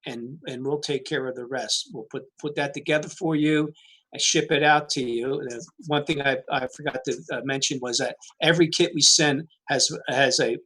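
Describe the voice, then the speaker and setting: A man's voice: mid-range voice, pushed and bright, low-quality recording. A man who manages customer service, describing his team's services over a video call with many fillers. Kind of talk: webinar presentation.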